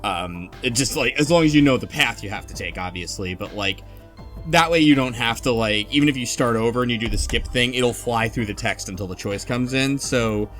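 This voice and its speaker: A man's voice: mid-range voice, fairly quick, a bit bright. A man hosts a casual group podcast chat in a mid-range voice. He thinks aloud and hedges as he goes. Faint music plays behind him. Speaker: podcast host.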